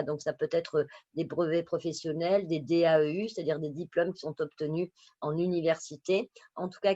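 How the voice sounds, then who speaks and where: Host chatting thru a school open day, middle pitch, hesitant, rewording stuff, dry but dull sound. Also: a little mellow, dry recording. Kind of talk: informal spoken presentation to prospective students.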